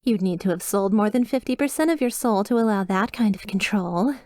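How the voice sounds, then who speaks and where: A woman's voice: mid-range voice, fairly quick, a little mellow, dry recording, clean studio sound, natural voice. A woman playing a cheerful demon receptionist in an ASMR roleplay, with polished, reassuring customer-service politeness and a slyly sinister undertone. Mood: smoothly persuasive. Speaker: hotel receptionist character.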